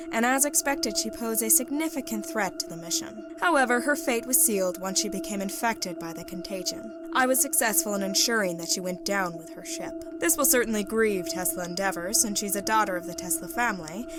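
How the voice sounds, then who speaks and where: A woman's voice: mid-range voice, close to an everyday voice. Woman acting as composed sci-fi secret agent over music. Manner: formal, measured reporting. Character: composed, ruthless female secret agent in science fiction. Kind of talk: scripted dramatic reading, mission debrief. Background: background music.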